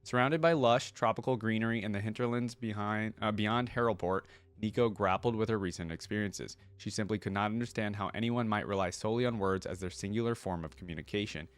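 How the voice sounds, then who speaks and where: A man's voice: lowish voice, fairly quick, hardly any pauses, clear, resonant tone. A man hosting a reaction video, talking and reading aloud in a casual, conversational way. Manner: conversational, informal. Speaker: YouTube reaction host.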